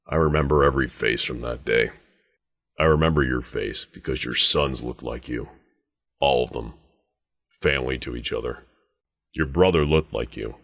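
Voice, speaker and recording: A man playing a very deep-voiced, stern wasteland sergeant. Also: a bit bright, no echo, studio quality, heavily acted. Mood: cold menace. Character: stern, grim male sheriff's deputy sergeant in a wasteland. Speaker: video game voice actor. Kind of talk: scripted video game dialogue.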